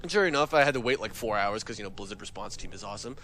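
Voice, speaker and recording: A man's voice: fairly low pitch, lively intonation, racing, a bit bright, a little hiss or hum. A man telling a comic gaming anecdote in a casual, profane, dramatic and exasperated way. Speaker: comic storyteller on a gaming talk show.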